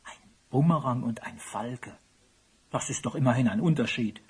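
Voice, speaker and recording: Husky, animated grumbling falconer. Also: male voice, mid-range voice, normal speed. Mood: doubtful. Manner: animated, complaining, theatrical. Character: worried, grumbling male royal falconer, open to cheating. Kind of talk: German children's radio play acting.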